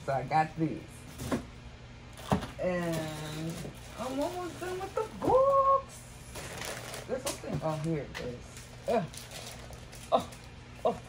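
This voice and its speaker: A woman's voice: low voice, wildly swooping pitch, slow, pauses often, background noise. A woman in her fifties chatting casually to viewers from her bedroom about items she bought, calling them y'all. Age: 50s. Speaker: haul vlogger. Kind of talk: casual haul chat. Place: bedroom at home.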